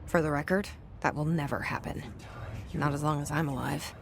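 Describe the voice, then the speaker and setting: An actress playing a guarded game heroine's inner voice, dry, muttered and sardonic. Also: female voice, low-pitched, steady tone, normal speed, creaky, noisy background. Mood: weary, resolute. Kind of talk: internal monologue in a video game.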